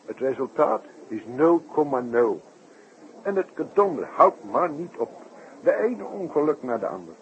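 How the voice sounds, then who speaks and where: An actor playing an excitable, worried circus performer in a 1960s radio drama, emphatic and chummy in manner. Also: male voice, a little high, measured pace. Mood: worried, agitated. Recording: muffled, noisy 1960s radio recording.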